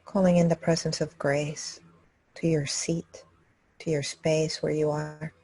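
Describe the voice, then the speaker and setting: Female meditation guide, calm and level in tone, slightly breathy rasp, close dry sound. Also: low-pitched, no room sound, poor audio. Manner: calm, unhurried, with long pauses between phrases. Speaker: meditation teacher leading a live group session. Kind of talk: guided meditation, slow and gentle instruction.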